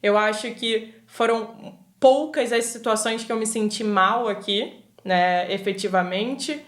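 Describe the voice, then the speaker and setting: A woman's voice: a little high, a little slow. A young Brazilian woman with a Carioca accent, a podcast guest talking in a reflective, earnest way. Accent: Carioca Brazilian Portuguese. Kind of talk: casual chat. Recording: roomy echo.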